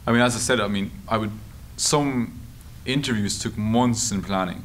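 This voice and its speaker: An Irish man answering interview questions in a halting, informal, anecdotal way at a table microphone. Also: lowish voice. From Ireland. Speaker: journalist and author being interviewed. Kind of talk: conversational interview answers at a public discussion. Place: meeting room at a table. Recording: steady background room noise.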